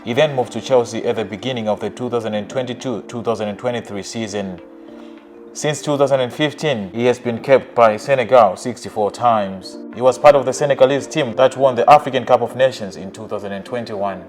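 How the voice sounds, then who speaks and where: A man narrating a scripted countdown of football players in an even, list-like reading. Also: mid-range voice, a little fast, soft background music.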